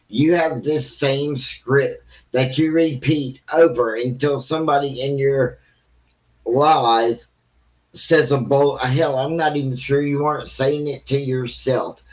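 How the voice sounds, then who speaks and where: A man talks slowly and bluntly over muffled stream audio. He is scornful and swears freely, commenting like someone reacting live online. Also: mid-range voice.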